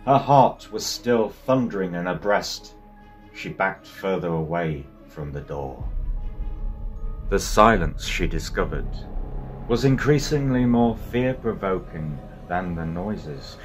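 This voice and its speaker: Deep-voiced man narrating slow, suspenseful drama. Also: expressive. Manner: theatrical, suspenseful delivery with swelling intensity. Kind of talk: dramatic scripted horror storytelling for an audio comic. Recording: music behind the voice. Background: music.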